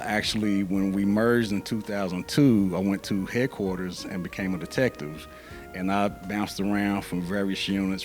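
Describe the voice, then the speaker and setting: A middle-aged man from Louisville, Kentucky, speaking in a measured, conversational way as an interview guest. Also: low voice. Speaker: interview guest, event planner. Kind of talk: casual interview.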